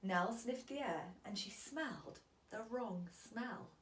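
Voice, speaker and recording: A woman reading a children's storybook aloud as a teacher, in an expressive, deliberate storytelling manner. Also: medium pitch, reverberant.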